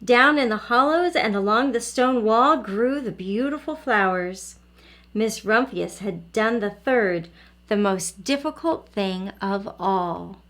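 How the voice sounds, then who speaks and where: A woman's voice: medium pitch, animated, takes it slowly, fairly bright tone. A woman reading a children's picture book aloud as a teacher, expressively and addressing young listeners directly.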